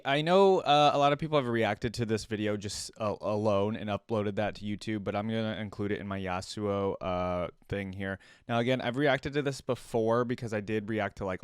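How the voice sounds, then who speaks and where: A man's voice: on the low side, clean tone, no room sound, clean studio sound. A man hosting a reaction video, chatting informally and speaking straight to his viewers. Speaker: reaction video host and streamer. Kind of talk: casual reaction commentary.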